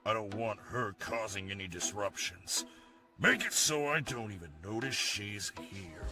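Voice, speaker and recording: A man voicing a grumpy, bossy, lazy middle-aged pig boss, gruff and dismissive, in an animated comic dub. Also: lowish voice, unhurried, music in the background. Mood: irritated.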